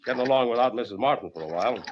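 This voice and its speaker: Man playing a shrewd, lively-voiced country sheriff. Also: medium pitch, lightly acted. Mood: quietly suspicious. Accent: American. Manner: folksy, measured, dryly probing. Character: genial, shrewd rural sheriff, calmly probing. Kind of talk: old-time radio drama acting. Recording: muffled, noisy old radio recording.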